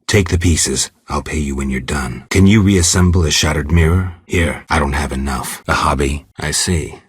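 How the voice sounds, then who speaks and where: A man voicing a stoic, world-weary monster hunter in a video game, terse and deadpan, with a gravelly, husky low voice. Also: normal speed, no echo, clean studio sound, heavily acted. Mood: reserved, curt. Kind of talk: video game dialogue lines.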